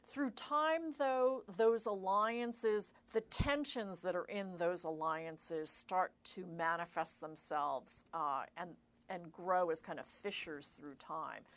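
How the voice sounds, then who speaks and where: A middle-aged woman historian answering interview questions in a measured way, pausing with fillers and correcting herself as she explains. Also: fairly low pitch. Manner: measured, thinks aloud with fillers and self-corrections. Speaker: historian guest.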